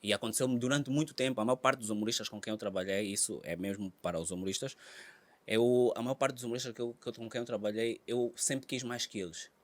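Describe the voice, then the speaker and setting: An Angolan man with an Angolan accent talks in a loose, casual podcast chat at an ordinary middle pitch. He stumbles over his openings and repeats phrases. When he launches into an anecdote his voice shoots up loud and high. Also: fast. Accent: Angolan Portuguese. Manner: animated, repeats words, bursts high and loud when telling stories. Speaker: comedian guest.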